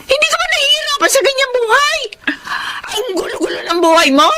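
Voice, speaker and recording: Swooping, chiding Filipina auntie. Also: female voice, very high-pitched, fairly quick, loud music behind the voice. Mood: exasperated. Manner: expressive, chiding. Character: nagging, caring aunt who raised her niece. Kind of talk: Filipino radio drama acting.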